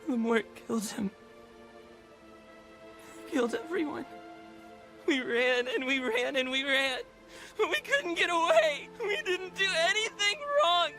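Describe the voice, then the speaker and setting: Grieving young boy, crying, pleading, bright strained voice, slow halting delivery, video game drama. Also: sits high, animated, faint music behind, exaggerated voice. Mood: anguished, sobbing. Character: frightened orphaned young boy, grieving survivor.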